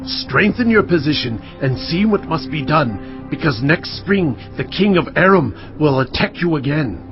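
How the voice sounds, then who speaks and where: An actor playing a solemn, assured prophet in a dramatised audio Bible, declaiming God's word emphatically. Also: male voice, fairly high pitch, lively intonation, a little slow. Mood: warning. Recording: dull lo-fi audio with music. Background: background music.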